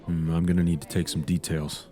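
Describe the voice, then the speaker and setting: Woman acting a deep, mellow male police officer. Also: flat delivery, brisk, soft background music. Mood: insistent, formal. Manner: formal, firm questioning. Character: insistent, formal male police officer questioning a witness. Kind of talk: ASMR audio roleplay.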